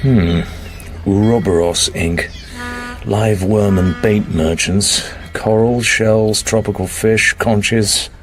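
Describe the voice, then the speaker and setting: An actor playing a cool, understated British secret agent in a radio drama, with a British accent and measured delivery. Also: male voice, lowish voice, steady tone, faint music behind. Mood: thoughtful.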